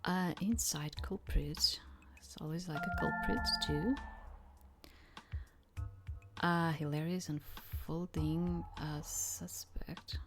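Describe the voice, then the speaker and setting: Low-voiced woman rambling through a game. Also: steady tone, over music. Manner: rambling, halting, repeats herself. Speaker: streamer playing a visual novel demo. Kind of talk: casual playthrough commentary, reading game text aloud.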